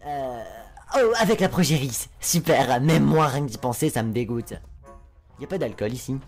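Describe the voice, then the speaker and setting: A woman's voice: rumbling low, animated, normal speed, a little mellow, faint music behind, exaggerated voice. A woman voicing a crude, flamboyant male spider demon with a sarcastic, lewd, mocking drawl in a fan dub. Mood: sneering.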